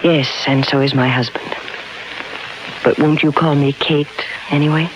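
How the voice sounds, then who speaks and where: Coy femme fatale on noisy old radio. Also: female voice, deep voice, fairly even intonation. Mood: coy, flirtatious. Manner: coy, poised, hesitant pauses. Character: cool, flirtatious femme fatale, wealthy brunette widow. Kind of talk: 1950s radio crime drama acting. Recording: noisy 1950s radio broadcast recording.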